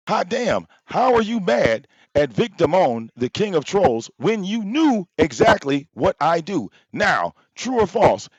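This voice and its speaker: A loud-voiced man calls out a rival on his live stream, firing off profane rhetorical questions. His pitch swings all over the place, and the sound is dry and a bit dull. The mic pops now and then. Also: slightly rough, dry recording. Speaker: livestream host. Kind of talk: confrontational live-stream rant. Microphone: popping mic.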